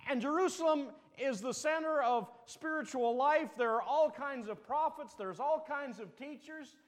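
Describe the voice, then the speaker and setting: A man's voice: extremely high pitch, moderate pace. A male pastor preaching a sermon, telling folksy stories and jokes with rambling asides. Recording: long reverb of a large hall.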